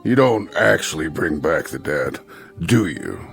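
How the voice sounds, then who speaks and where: An actor playing a folksy, self-important Old West sheriff with an American Western drawl in an audio drama. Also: male voice, very deep voice, very animated, measured pace, gravelly, a bit bright, soft background music, exaggerated voice. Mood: puzzled, probing. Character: folksy, slow-witted Old West sheriff, proud of his reasoning.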